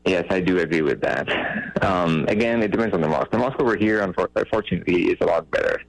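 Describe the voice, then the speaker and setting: A man from Bangladesh speaks as a caller on a radio show. His voice is very low and creaky, with a dry sound. He explains himself earnestly, in a measured and halting conversational way. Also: animated, no echo, rough recording. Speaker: radio call-in guest. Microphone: call-in line.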